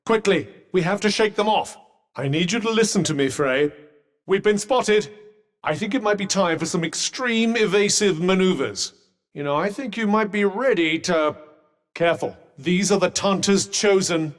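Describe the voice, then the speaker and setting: An actor voicing a sentient magical vambrace in a video game, a wry, haughty, cautious companion with a British accent and dry, sardonic, formal understatement. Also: male voice, high-pitched, normal speed, natural voice. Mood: urgent warning. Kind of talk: video game character dialogue. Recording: long reverberant echo on the voice.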